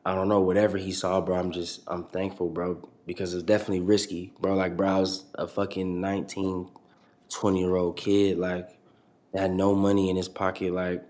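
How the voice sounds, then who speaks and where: A young man with a low, deep voice that stays flat and even as he talks. He rambles loosely through a casual interview, swearing freely and leaning on filler words. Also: normal speed. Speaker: rapper guest.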